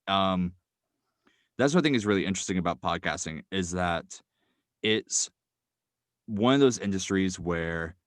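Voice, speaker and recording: A young man, a guest writer, speaking casually and quickly with many fillers like "um" and "like.". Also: sits low, no echo, studio quality. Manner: quick, filler-heavy, thoughtful. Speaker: guest, audio drama writer. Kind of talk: casual interview talk.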